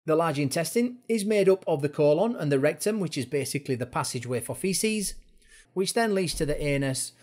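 A man with a fairly high-set voice presents a lesson as a course instructor. He explains things informally and step by step, in the style of an educational narration. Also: lively intonation, a little fast, a little mellow.

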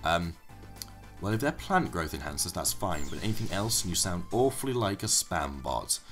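Young man, hoarse and low, casual let's play commentator who rambles and corrects himself. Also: sing-song, normal speed, fairly bright tone, faint music behind. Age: young adult. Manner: rambling, self-correcting explanations. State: hoarse from illness.

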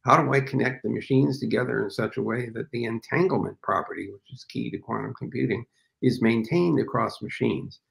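A man's voice: medium pitch, a little fast, creaky, rough recording. An older man speaking as an interview guest over a video call from a home office, explaining thoughtfully with frequent fillers. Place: home office with framed pictures, indoors. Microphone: video call webcam.

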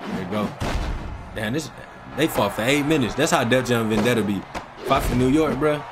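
A man's voice: medium pitch, moderate pace, noisy background. A young man giving casual, slangy reaction commentary to his viewers as a gaming video host. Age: young adult. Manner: slangy, conversational, addressing chat. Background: music.

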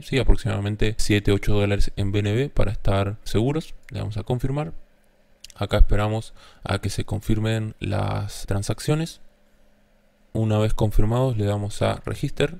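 A man's voice: on the low side, darkish tone. A man narrating a step-by-step screen tutorial as its host, often checking in with a short questioning tag.

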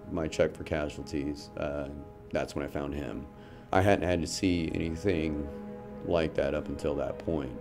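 A man in his thirties from North Carolina speaks in a very low, deep voice. He tells a veteran's story haltingly and somberly, pausing and restarting. Music plays clearly underneath. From North Carolina, USA. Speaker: military veteran giving a testimonial. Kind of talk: documentary testimonial for an advocacy ad. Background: soft music bed.

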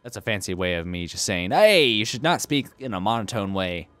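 A man's voice: fairly low pitch, big pitch swings, fairly quick, fairly bright tone, no room sound, soft background music. Goofy young man playing a strategy game. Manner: goofy, argues with himself in silly voices. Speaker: let's play host. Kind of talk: casual gameplay commentary.

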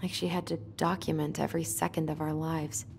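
A woman's voice: low-pitched, level tone, fairly quick, vocal fry, darkish tone, slight background noise. An actress playing a guarded, wry young American woman in a video game, talking in a subdued, dry way. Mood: subdued, bitter. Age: young adult. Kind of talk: naturalistic video game dialogue.

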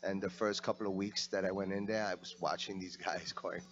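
A man's voice: sits low, flat delivery, fairly quick, a bit bright. A man from the Bronx, an actor guest talking casually and hesitantly, with many ums, into a handheld mic. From the Bronx, New York. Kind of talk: casual interview talk. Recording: dull lo-fi sound.

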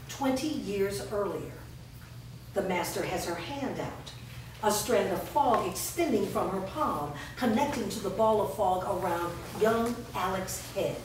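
Woman narrating, very echoey and distant. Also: medium pitch. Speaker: stage directions reader. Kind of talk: scripted narration. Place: bare indoor room with small audience. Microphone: far camera. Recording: echoey room, distant mic, noisy.

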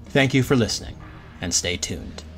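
A man reading scripted podcast credits as an announcer, in a measured, formal way. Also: on the low side, lively intonation, fairly bright tone, soft background music.

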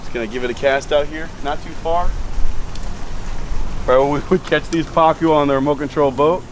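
A young man hosting a fishing channel, chatting casually to viewers outdoors and getting excited as he fishes. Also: on the high side, normal speed, slight background noise, poor audio. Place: outdoors by a lake and a pool.

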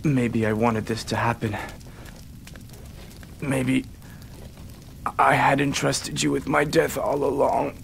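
An actor playing a sorrowful, weary samurai traitor in a video game, weak and dying, speaking solemnly and haltingly. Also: male voice, medium pitch, little pitch movement, gravelly, slight background noise. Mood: dying, resigned. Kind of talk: dramatic video game cutscene acting.

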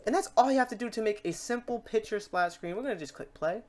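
A man hosting a software tutorial in a casual, chatty way, talking through each step aloud. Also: sits high, fast. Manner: informal, chatty, talks through steps aloud. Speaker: tutorial host.

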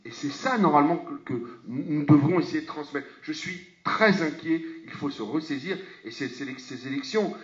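A man's voice: moderate pace, hardly any pauses. Emphatic man on a handheld mic in an echoey hall. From France. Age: middle-aged. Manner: emphatic, rhetorical questions, frequent restarts, swings between low and loud. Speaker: parliamentarian speaker on a panel. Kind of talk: impassioned public speech. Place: conference hall with audience. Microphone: handheld microphone. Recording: echoey hall, dull lo-fi sound.

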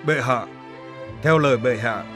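A man playing a dutiful army general in an animated historical drama, speaking with formal deference. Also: mid-range voice, a little slow, music in the background, lightly acted. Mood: forceful, reporting. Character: loyal, dutiful male army general reporting to emperor.

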